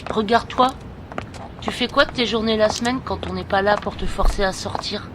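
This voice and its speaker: A woman's voice: mid-range voice, moderate pace, background noise, close to an everyday voice. A woman playing a frank, bossy but caring teenage best friend in an audio drama. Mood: scolding, firm. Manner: frank, scolding, familiar. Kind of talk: amateur audio drama acting.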